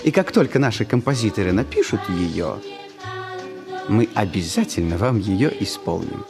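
An actor playing a cheerful young Polish student who narrates a radio comedy, addressing listeners with playful, mock-ceremonial courtesy. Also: male voice, lowish voice, sing-song, normal speed. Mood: showy, teasing. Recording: 1960s radio play with music behind.

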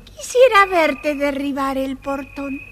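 A woman's voice: high voice, expressive, fairly bright tone, heavily acted. An actress playing a sly, mocking little old woman guarding a castle in a children's audio story. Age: older. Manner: slow, mocking, unhurried. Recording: old record with faint background noise.

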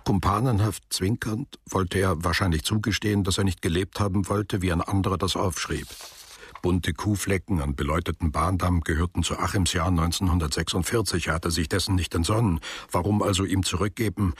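A man's voice: sits low, fairly even intonation, brisk, breathy edge, dry recording, studio quality. A man narrating a literary radio drama from a script. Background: music.

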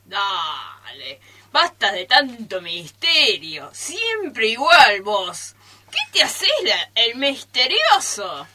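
A woman's voice: a little high, sing-song, extremely slow, bright, forceful tone, heavily acted. A woman with an Argentine accent acting an impatient, mocking friend in amateur radio theatre, insistent and needling.

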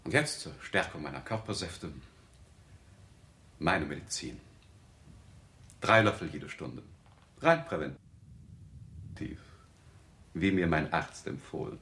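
Pompous, sharp-voiced publisher. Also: male voice, extremely low pitch, lively intonation, slow, pauses often, heavily acted. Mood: self-indulgent. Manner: pompous, clipped dictating, ironic. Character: pompous, vain newspaper publisher dictating to his clerk. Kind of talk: 1960s German radio play acting.

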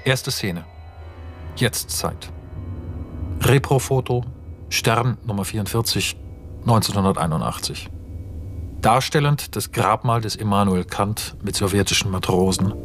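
A man reading scripted radio narration in a measured, literary manner. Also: lowish voice. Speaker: narrator reading screenplay and essay.

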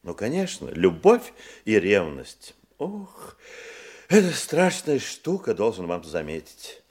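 A boastful, nervy male womaniser in a radio drama. His lowish, creaky voice swings extravagantly up and down. He is effusive and theatrical, given to hesitant stammering. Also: huge pitch swings, takes it slowly, darkish tone, exaggerated voice. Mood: self-important. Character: boastful, florid, nervous womanising male hotel guest.

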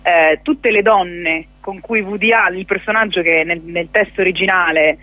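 A woman speaking as an actress and guest in a radio interview, recounting in a halting way and correcting herself as she goes. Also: fairly low pitch, no echo, phone-quality sound.

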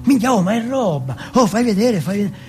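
A man voicing an excitable, streetwise teenage boy in a stage monologue, slangy, insistent and full of swearing. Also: high-pitched, fairly quick, soft background music, voice pushed up. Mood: excited, urgent. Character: excitable, foul-mouthed streetwise teenage boy from housing projects.

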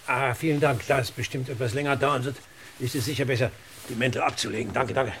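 An actor playing a courteous, methodical police inspector in a German radio crime drama, questioning with formal, deliberate politeness. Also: male voice, medium pitch, steady tone, fairly bright tone, lightly acted. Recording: noisy old radio play recording.

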